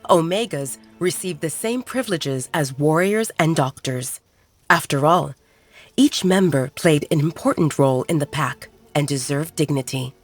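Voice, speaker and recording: A woman reading a romance story aloud as a calm, even narrator. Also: low-pitched, moderate pace, faint music behind. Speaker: audiobook narrator.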